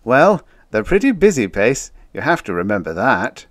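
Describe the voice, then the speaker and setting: A man's voice: mid-range voice, sing-song, normal speed, fairly bright tone, no echo, studio quality, pitched above the performer's own voice. A man voicing a grave, judicious space official with a formal, placating manner in a story.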